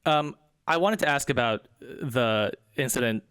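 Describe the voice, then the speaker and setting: A man from Michigan interviewing a guest casually, easygoing and quick to agree. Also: mid-range voice, a bit bright, smooth, dry recording. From Michigan, USA.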